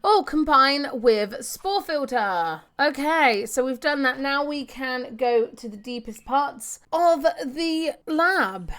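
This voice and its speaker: A woman's voice: sits high, expressive, slow, hardly any pauses, fairly bright tone. A woman hosting a casual game walkthrough, chattily talking through each step and sharing tips. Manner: chatty, narrates own actions step by step, reads pop-ups aloud. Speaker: gaming video host.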